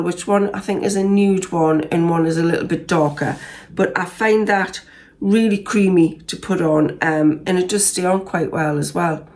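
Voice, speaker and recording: A Geordie woman in her sixties, croaky from a cold, chatting casually through a makeup review vlog with lots of ums and restarts. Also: on the low side. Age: 60s. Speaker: beauty vlogger.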